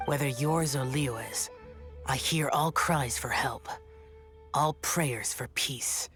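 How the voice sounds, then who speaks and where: A man's voice: medium pitch, slow, sharp tone, faint music behind. A man playing an aloof, brooding young immortal guardian warrior in a video game, speaking in a solemn, formal and disdainful manner.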